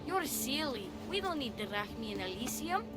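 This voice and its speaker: A woman's voice: high voice, big pitch swings, moderate pace, loud music behind the voice. A woman playing an innocent, proud young stable boy in a video game, guileless and childlike. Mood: innocent, puzzled. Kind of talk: video game cutscene acting.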